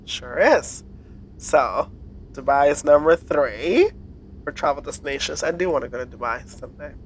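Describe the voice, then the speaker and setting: A woman's voice: low-pitched, normal speed, a little hiss or hum, low-quality recording. Playful woman podcast co-host quizzing. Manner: playful quizzing and teasing, laughs often. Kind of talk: casual chat.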